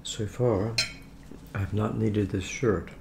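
A man in his seventies chatting casually to camera in short, halting phrases while he eats. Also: fairly low pitch, steady tone, creaky. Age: 70s. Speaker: vlogger eating on camera. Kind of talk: casual mealtime chat to camera. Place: home dining room. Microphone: camera across the table. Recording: noisy room with cutlery clinks.

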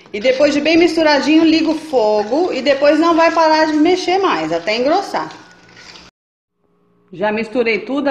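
A woman hosting a cooking video who explains each step and keeps checking in with her viewers. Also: sits high. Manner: chatty, checks in with viewers often. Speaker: cooking channel host. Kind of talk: step-by-step recipe demonstration. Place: home kitchen. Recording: echoey kitchen with faint noise.

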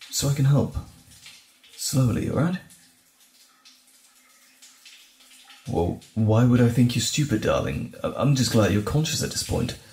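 A man acting as a tender boyfriend in an intimate audio roleplay, with a lively, swooping delivery and a crackly, creaky edge. He soothes and lightly teases his partner, and his voice rings in a roomy, echoing space. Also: mid-range voice. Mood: concerned, calming. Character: gentle, caring boyfriend comforting frightened partner.

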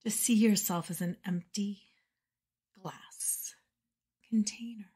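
A woman leading a guided meditation in a soft, breathy, soothing voice with measured phrasing and pauses. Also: mid-range voice, fairly even intonation. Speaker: meditation guide and podcast host.

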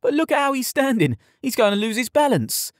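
A man's voice: a little high, very animated, normal speed, darkish tone, husky, no echo, clean studio sound, pitched above the performer's own voice. A man voicing a mocking, insolent stable boy in an audiobook, taunting and bullying. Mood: amused. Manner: taunting, sneering.

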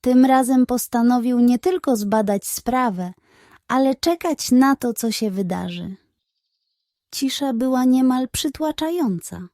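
A woman narrating a scripted children's bedtime story in a measured, expressive manner. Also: high voice, no echo, studio quality.

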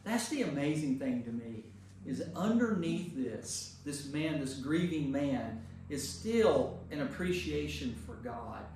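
A man's voice: on the high side, lively intonation, slow, darkish tone. An American man leading a Bible study as a priest, speaking informally and conversationally to a group and checking in with questions. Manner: conversational, puts questions to listeners, checking in with "right?". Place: indoor church meeting room with a group. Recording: echoey room from far off, noisy.

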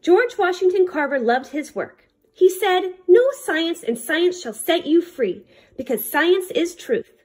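A woman reading a children's picture book aloud as a librarian, in careful, deliberate short phrases. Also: high-pitched.

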